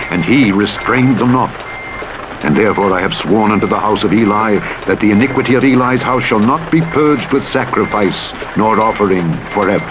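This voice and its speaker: A man narrating the Bible in a solemn, measured storytelling style, acting out the dialogue as he reads. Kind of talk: scripted scripture reading with dramatized dialogue. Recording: rumbling truck and rain noise over playback. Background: vehicle rumble, rain, simulator truck sounds.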